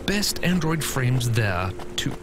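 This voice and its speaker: An actor playing a naive, boastful android with a pompous, snobbish manner in a video game. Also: male voice, mid-range voice, sing-song, takes it slowly, loud music behind the voice. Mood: airy, self-assured. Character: naive, boastful android head dreaming of wealth.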